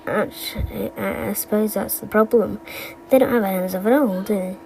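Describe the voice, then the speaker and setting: A woman voicing an absent-minded animatronic bear from a game, who tells stories in a halting, stammering, deadpan way and wanders off the point. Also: mid-range voice, vocal fry, a little hiss or hum, close to an everyday voice, rough recording. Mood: deadpan, rueful. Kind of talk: fan recital of game voice lines.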